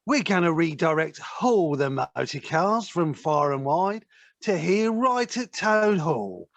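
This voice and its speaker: A woman's voice: low voice, gravelly, dry recording, heavily acted. A woman playing a bossy, impatient schemer in an audio play, scornful and exasperated. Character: bossy, impatient scheming villain plotting against Toad. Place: living room, recorded over video call.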